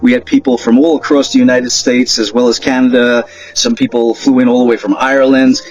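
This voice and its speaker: A man's voice: medium pitch, level tone, a little fast, darkish tone, faint music behind. Man making announcements, slightly dull recording. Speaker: event organizer. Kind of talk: informal thank-you announcement.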